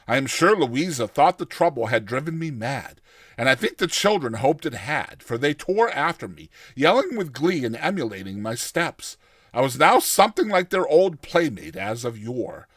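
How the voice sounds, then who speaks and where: A man giving a theatrical, expressive dramatized narration of a short story. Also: medium pitch, normal speed, no room sound, studio quality.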